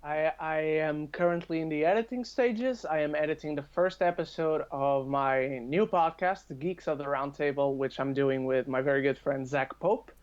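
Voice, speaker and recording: A man's voice: fairly high pitch, normal speed, few pauses, clear, resonant tone. Male podcast guest, casual conversational delivery, slightly lo-fi sound. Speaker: podcast guest, video reviewer. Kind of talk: casual chat.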